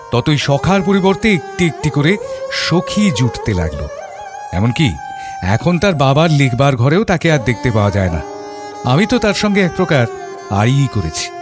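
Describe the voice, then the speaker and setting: An actor playing a reflective father who narrates his memories in a measured, literary and expressive audio story style. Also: mid-range voice, lightly acted. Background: background music.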